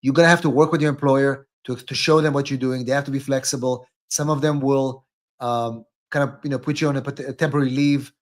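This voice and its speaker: A man's voice: mid-range voice, steady tone, fairly quick. A man speaking off the cuff as an attorney hosting a live call-in stream, often repeating words and tagging sentences with "right?".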